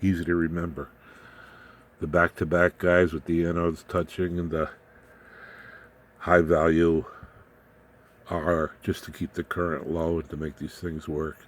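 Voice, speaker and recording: A man's voice: low-pitched, level tone, unhurried, pauses often. A man presenting an informal hands-on electronics demonstration in a folksy, rambling, plain-spoken way. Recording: clear background noise, room ambience.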